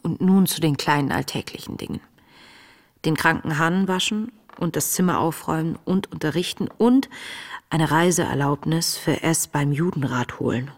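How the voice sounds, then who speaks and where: An actress playing an earnest, searching woman in her late twenties, reading her wartime diary in a breathy, introspective, measured way for a radio play. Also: deep voice. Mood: reflective. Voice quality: breathy, often dropping toward a whisper. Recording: music under the voice.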